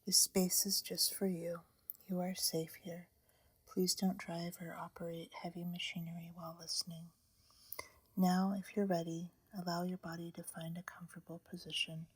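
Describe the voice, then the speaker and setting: Woman whispering, guided sleep meditation, low voice, flat and even, breathy, dry close sound. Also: dry recording. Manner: slow, soothing, hushed.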